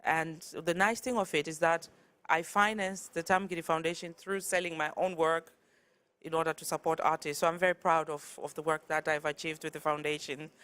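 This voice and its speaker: A tired woman from Zimbabwe speaks earnestly at a conference, in a fairly low voice. She stumbles and restarts a little as she goes. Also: fairly even intonation, a little fast, a bit bright. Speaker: conference speaker, artist and curator. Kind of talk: conference talk. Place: conference hall.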